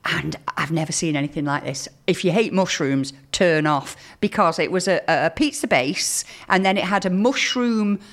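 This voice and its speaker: A woman's voice: lowish voice, normal speed, hardly any pauses. A woman hosting a local radio show, chatting casually, reading out listener texts and laughing often. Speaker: radio host.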